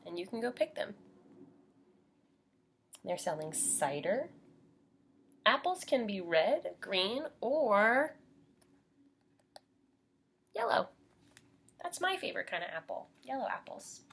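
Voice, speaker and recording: A woman's voice: mid-range voice, very animated, measured pace, gravelly, pauses often, a bit bright, husky. An American woman teaching young children as she reads a picture book aloud, explaining simply and putting questions to her listeners.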